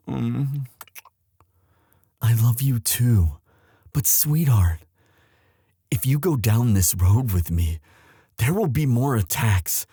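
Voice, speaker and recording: Husky, anguished young prince acted by a man. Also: medium pitch, mellow, dry recording, studio quality, full character voice. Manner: halting, emotive, sighing, intimate delivery. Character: lovestruck young prince, anguished, tender and protective. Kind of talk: boyfriend ASMR audio roleplay drama.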